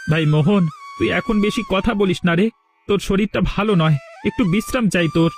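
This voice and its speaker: A man's voice: sits high, huge pitch swings, a little fast, faint music behind. A man voicing a loyal, protective young friend in a cartoon, earnest, reassuring and determined. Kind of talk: cartoon voice acting.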